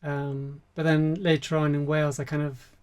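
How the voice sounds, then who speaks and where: A man from Spain, a musician guest, chatting in a relaxed, soft-spoken interview and using many fillers. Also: a little high, moderate pace.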